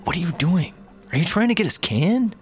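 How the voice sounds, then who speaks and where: A man's voice: high-pitched, sing-song, fairly quick, slightly rough, faint music behind, exaggerated voice. A man playing a laid-back, perk-loving startup slacker who is eager to please, in a comedy audio drama. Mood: chiding. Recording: background ambience and noticeable noise.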